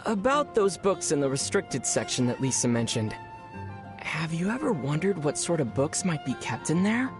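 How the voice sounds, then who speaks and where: A man's voice: a little high, sing-song, moderate pace. A man voicing a young, curious, mild traveler from another world in a video game, musing and understated, with music behind. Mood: musing, conspiratorial. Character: young male otherworldly traveler, curious, mild, dryly puzzled. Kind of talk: video game character dialogue.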